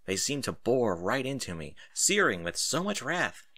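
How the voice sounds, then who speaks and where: Animated, bright-voiced male story narrator. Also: medium pitch, moderate pace, no room sound.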